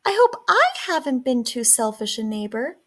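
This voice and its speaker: A woman voicing an excitable, kindly little female mouse with a squeaky, eager voice in a children's storybook. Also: normal speed. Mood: bright, excited. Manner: eager, exclamatory. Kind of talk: children's storybook read-aloud.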